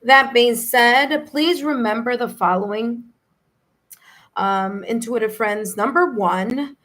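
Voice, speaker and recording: A woman with a New York accent and a slightly hoarse, raspy voice, hosting a live tarot stream in a friendly, chatty way. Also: a little high, slow, fairly bright tone. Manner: friendly, chatty, laughs easily. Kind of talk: casual live-stream chat, greeting viewers.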